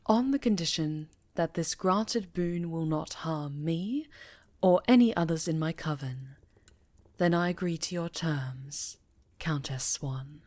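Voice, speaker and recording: A woman voicing a dignified, guarded leader of a witches' coven in an ASMR fantasy roleplay, formal, measured and earnest. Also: low voice, darkish tone, no room sound. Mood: solemn.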